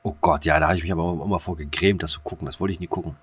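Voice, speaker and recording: Low-voiced man bantering on a podcast. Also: fairly even intonation, very fast, slightly rough, no echo. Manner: colloquial, mock-serious teasing. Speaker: podcast co-host. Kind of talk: casual, joking podcast banter.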